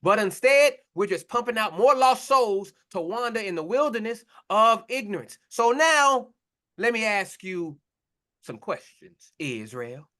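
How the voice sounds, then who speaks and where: A man's voice: high-pitched, very animated, a little slow, pushed and bright. A man preaching a confrontational sermon, blunt and mocking, in slangy talk to his listeners.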